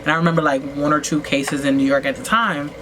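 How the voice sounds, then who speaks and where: Rambling woman vlogger over steady noise. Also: quick pace. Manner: rambling, full of "like" and restarts; swoops up in pitch for emphasis. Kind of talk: casual storytelling while getting ready. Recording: noisy home recording.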